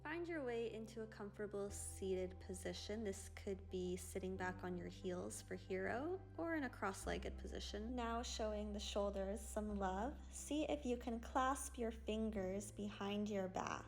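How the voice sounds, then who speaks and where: A young woman teaching a yoga stretch class in a soft, sleepy, gentle voice, recorded by a camera across a small room. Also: medium pitch, lively intonation, measured pace, few pauses, faint music behind. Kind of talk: guided yoga class instruction. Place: small pink-lit room, indoors.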